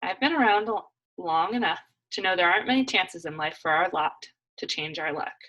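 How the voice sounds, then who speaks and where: A woman's voice: lowish voice, expressive, moderate pace, a bit bright, poor audio. A woman voicing a blunt, earnest man in a children's storybook, with an emphatic, folksy, persuasive delivery. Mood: earnest, urging. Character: Hank, blunt, earnest man urging a family on.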